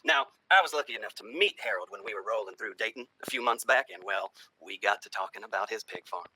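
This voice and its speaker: Sinister folksy stump-speech politician, played by a man: piercing, forceful tone, lively pitch, theatrical pauses, vintage radio horror satire. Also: full character voice. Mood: folksy, earnest. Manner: folksy, rhetorical, dramatic pauses and hushed asides. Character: folksy, sinister cultist presidential candidate, genial and menacing. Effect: vintage old-time radio treatment.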